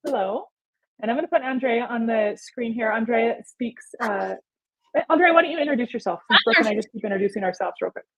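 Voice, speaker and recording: A woman hosting a live-stream Q&A, moving briskly between questions with upbeat reactions. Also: on the high side, lively intonation. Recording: muffled lo-fi audio.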